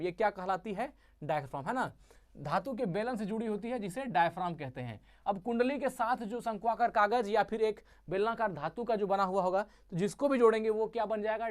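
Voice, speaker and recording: A woman teacher explains how a device works step by step. She keeps asking the listener short questions and answers them herself, repeating each term to drive it home. Her voice sits at an ordinary middle pitch. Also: darkish tone. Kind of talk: classroom physics lesson.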